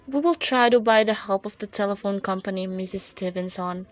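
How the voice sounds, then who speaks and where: A woman's voice: mid-range voice, brisk, dry recording, natural voice. A young woman acting in a student radio drama as a placating, dismissive police officer on duty.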